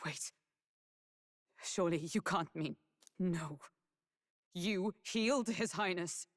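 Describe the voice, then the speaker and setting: A woman's voice: lowish voice, takes it slowly, dry recording, studio quality. A woman playing an earnest, righteous fantasy game adventurer who speaks in a formal, old-fashioned way. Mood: shaken, disbelieving. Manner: formal, earnest, archaic phrasing.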